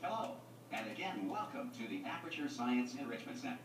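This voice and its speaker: A man voicing a calm, pre-recorded facility announcer in a video game, measured and formal. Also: mid-range voice, faint music behind, natural voice. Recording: game audio from TV speaker, roomy and distant.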